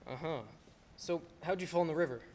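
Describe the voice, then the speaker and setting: A young performer playing a troubled, wry, skeptical family man in a radio play, halting and incredulous. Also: medium pitch, a little mellow, close to an everyday voice. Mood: puzzled, wry. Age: teens to young adult. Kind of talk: stage radio play acting.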